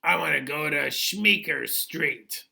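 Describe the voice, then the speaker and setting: An odd, unflappable storybook passenger, voiced by a man, who corrects people in terse, stubborn little answers. He is deadpan and deliberate, stretching his words in a forceful tone. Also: a little high, creaky, heavily acted. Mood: terse, insistent.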